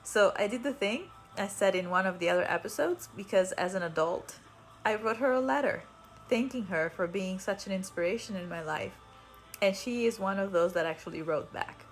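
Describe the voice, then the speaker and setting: A woman with an Italian accent hosts a podcast, telling personal stories casually. She is chatty and gently self-deprecating, a native Italian speaker in English, with her voice at a middling pitch. Faint music plays behind her. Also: normal speed, rough recording. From Italy. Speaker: podcast host.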